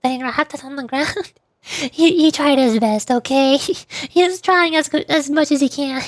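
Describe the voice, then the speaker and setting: High-pitched woman streamer, playful and chatty. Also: a little slow, no room sound. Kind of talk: gameplay commentary with live voice-over.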